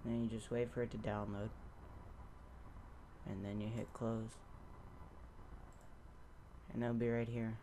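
A young man giving a casual, offhand software tutorial, unscripted, into a computer microphone. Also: on the low side, little pitch movement, a little slow, pauses often. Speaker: tutorial host. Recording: noisy amateur home recording.

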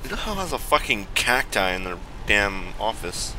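A man's voice: fairly low pitch, big pitch swings, moderate pace, pushed and bright, a little hiss or hum, low-quality recording. A man giving casual commentary as he plays a video game, crude and sarcastic, swearing freely. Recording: game music and effects behind. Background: video game music and sound effects.

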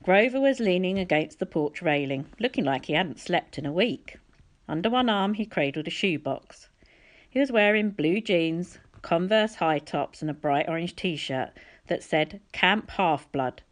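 A woman's voice: fairly low pitch, lively intonation, unhurried. A woman reading a storybook aloud as an audiobook narrator. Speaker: audiobook reader.

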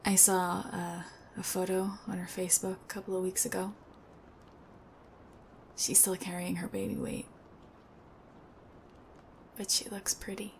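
A woman playing a shy, sweet young female thief in an ASMR roleplay, hesitant and soft-spoken, often near a whisper. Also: on the low side, little pitch movement, unhurried, gravelly, pauses often, slight background noise. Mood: wistful, hesitant.

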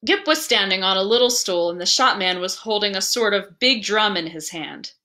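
A woman reading a literary story aloud with expressive, dramatic and deliberate phrasing. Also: mid-range voice.

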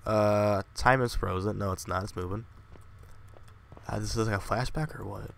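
A man's voice: lowish voice, steady tone, moderate pace, gravelly. A man playing a horror game, swearing and muttering casual asides, still slightly congested from a cold. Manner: profane, jumpy, muttering asides. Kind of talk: casual commentary.

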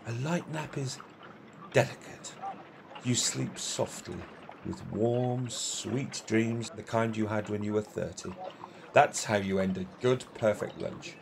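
A man playing a peevish, competitive older gentleman in an amateur radio play, with a deliberate, emphatic, easily exasperated manner. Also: lowish voice, gravelly, noisy background. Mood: smug relish.

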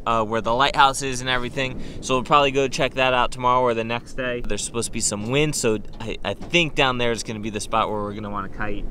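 Give me a relaxed young man vlogging casually, voice at a middle pitch, with clear background noise. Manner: relaxed, loose, filler-heavy explaining. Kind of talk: casual travel vlog talk. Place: inside a truck, then outdoors on a beach.

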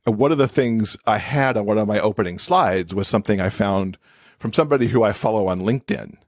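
A man's voice: on the low side, a little fast, no echo, clean studio sound. A man speaking as an expert podcast guest, explaining things in a conversational interview style.

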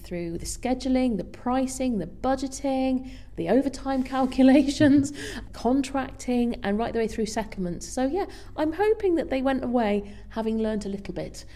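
A woman's voice: high voice, lively intonation, normal speed, mellow. A woman guest speaker explaining her work in a conversational interview. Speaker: guest speaker, theatre finance professional.

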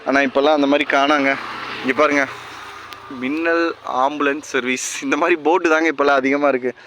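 A man speaks in a fairly high voice with a Tamil Nadu accent. He explains things casually, step by step, as a vlogger addressing viewers. He is outdoors by a road, with street and vehicle noise around him. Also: fairly even intonation, brisk, sharp tone, poor audio. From rural Tamil Nadu, India. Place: outdoors by a tree-lined roadside.

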